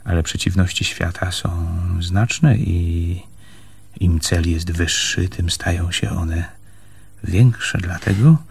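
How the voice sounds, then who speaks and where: Husky, earnest, stubborn land surveyor. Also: male voice, sits low, slow pace, a little mellow, exaggerated voice. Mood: earnest, wooing. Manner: earnest, hesitant, repeats words for emphasis. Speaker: radio drama actor. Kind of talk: Polish radio play acting.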